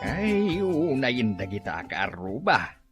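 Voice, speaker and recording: An actor with an Ilocano accent playing a gossipy neighbour in a comedy radio drama, exclaiming and commenting. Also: male voice, medium pitch, sing-song, takes it slowly, over loud music.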